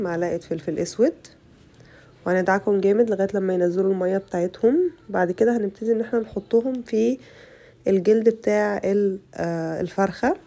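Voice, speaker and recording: A young Egyptian woman with an Egyptian Arabic accent, her voice rough from a bad cold, chatting to her viewers through a casual cooking tutorial. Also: mid-range voice, mellow. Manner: chatty, addresses viewers as girls. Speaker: cooking channel host.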